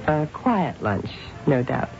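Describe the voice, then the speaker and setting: A woman playing a poised wife with an arch, playful manner and deliberate phrasing in an old-time radio drama. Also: low-pitched, very animated, gravelly, full character voice. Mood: wry, teasing. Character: poised wife chatting wryly over breakfast. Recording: dull old radio recording, loud music.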